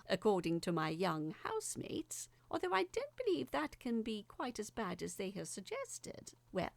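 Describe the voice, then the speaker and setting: Casting: bemused, fussy woman, acted by a narrator, conversational audio drama monologue, expressive melody with vocal fry. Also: medium pitch, dry recording, exaggerated voice. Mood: bemused, mildly disapproving. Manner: wry, musing, conversational.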